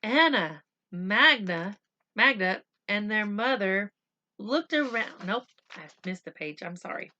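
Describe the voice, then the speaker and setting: A woman reading a storybook aloud for children, with expressive, deliberate phrasing. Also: mid-range voice, dull audio. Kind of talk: read-aloud storytelling with simple asides.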